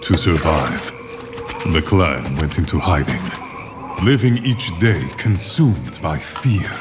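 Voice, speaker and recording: Slow, deep, solemn fantasy storyteller. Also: male voice, animated, loud music behind the voice, full character voice. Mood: solemn, grave. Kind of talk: dramatic scripted narration for game cinematic.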